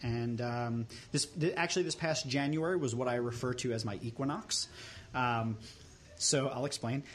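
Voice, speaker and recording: A man in his thirties from Long Island chats casually as a podcast host. His middle-pitched voice carries a playful, deadpan humor, and there is a faint noise behind him. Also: moderate pace. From Long Island, New York. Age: 30s. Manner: playful, deadpan tall tales. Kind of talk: joking casual chat.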